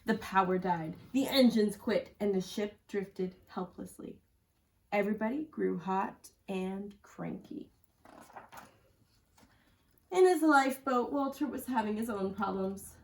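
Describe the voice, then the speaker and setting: A woman's voice: mid-range voice, a little slow, creaky, a little mellow. A woman reading a children's picture book aloud as a storyteller, recorded at a distance from the microphone. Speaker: school storyteller.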